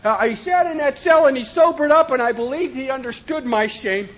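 High-voiced impassioned man on old tape. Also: a little fast, highs cut off. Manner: impassioned, often shouting with emphasis. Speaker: recovery speaker. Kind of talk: personal testimony at a recovery meeting.

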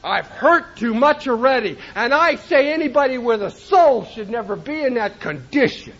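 A man giving an impassioned personal testimony at a recovery meeting, often shouting for emphasis. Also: extremely high pitch, animated, moderate pace, muffled recording. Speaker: recovery speaker.

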